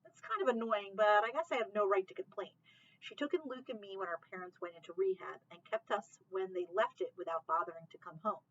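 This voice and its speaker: A woman giving an expressive read-aloud of a children's novel, narrating the chapter. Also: mid-range voice, brisk, lo-fi sound. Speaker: library read-aloud reader.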